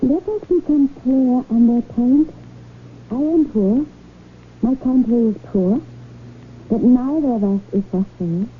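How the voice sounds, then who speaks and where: A woman playing a haughty, regal royal highness in a 1970s radio drama, speaking in an imperious, formal, measured way. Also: natural voice. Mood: haughty, commanding. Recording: tinny, noisy old radio broadcast recording.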